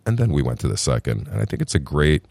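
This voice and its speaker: A middle-aged man from New Jersey, a restaurant founder telling his story in a relaxed, chatty interview and calling the host "brother". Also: a bass voice, fast talker, gravelly, no room sound. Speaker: restaurant group founder, podcast guest.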